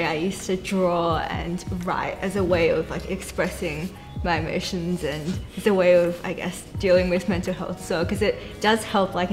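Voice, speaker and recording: A young Chinese Australian woman talking casually in a seated group conversation, thoughtful and full of "like" fillers. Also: lowish voice. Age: young adult. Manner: thoughtful, uses many fillers like "like". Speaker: panel guest. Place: bright studio with plants, indoors.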